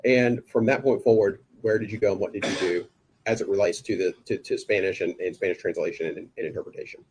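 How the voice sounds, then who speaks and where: A man working as an attorney, questioning a witness in a courtroom in a brisk, polite manner. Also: low voice, a little mellow, breathy edge. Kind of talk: courtroom direct examination.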